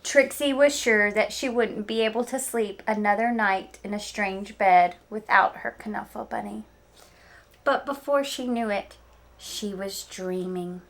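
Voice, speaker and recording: A woman reading a picture book aloud to young listeners, expressive and speaking to them directly with small asides. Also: medium pitch, measured pace. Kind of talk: children's read-aloud storytelling with asides.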